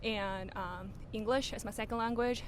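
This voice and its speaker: A young woman from China, native in Mandarin, chats casually as an interviewee. Her voice is fairly high, over a faint traffic rumble. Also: fairly even intonation, moderate pace. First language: Mandarin Chinese. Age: 20s. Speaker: student interviewee. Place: outdoors on a university campus.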